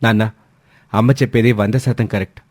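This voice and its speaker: A man's voice: on the low side, fairly even intonation, brisk, a little mellow, no room sound, natural voice. A man voicing an angry, wounded young husband in a story, speaking with emphatic indignation. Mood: angry, hurt.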